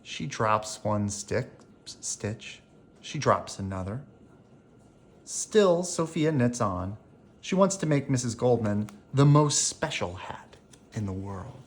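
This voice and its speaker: A storyteller reading a children's picture book aloud in a deliberate, animated way, as for bedtime. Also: mid-range voice.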